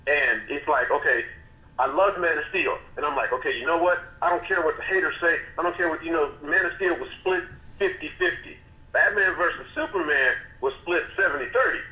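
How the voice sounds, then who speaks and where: Bright-voiced man in an echoey room. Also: a little high, a little fast. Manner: animated, stumbling restarts. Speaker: podcast co-host. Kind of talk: casual chat.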